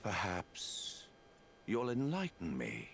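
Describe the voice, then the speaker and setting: An actor playing a smug, scheming game villain who talks in a courtly, mocking, deliberate way. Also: male voice, on the low side, wildly swooping pitch, vocal fry, fairly bright tone, slight background noise, heavily acted. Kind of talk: video game villain acting.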